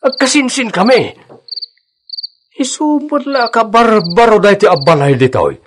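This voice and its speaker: A man playing a lively, teasing older village father in an Ilocano radio drama, chatty and expressive, with an Ilocano accent. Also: high-pitched, moderate pace, a little hiss or hum. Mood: animated.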